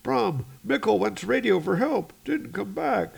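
A man's voice: high voice, lively intonation, unhurried, gravelly, husky, pitched above the performer's own voice, exaggerated voice. A man voicing an injured but plucky oil rig worker from a comic book, with strained, colloquial short phrases.

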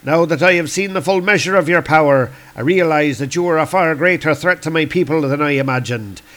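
A man's voice: on the high side, fairly quick, a bit bright, pitched above the performer's own voice, natural voice. A man voicing a proud, furious warrior villain from a comic book, declaiming in grandiose archaic speech. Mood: menacing. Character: Lear, proud furious Celtic warrior villain, bombastic and defiant. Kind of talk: reading comic book dialogue aloud.

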